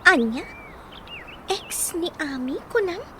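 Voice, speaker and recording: An actress with an Ilocano accent playing a curious, excitable young woman in a radio drama. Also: extremely high pitch, slow pace, a little hiss or hum, exaggerated voice.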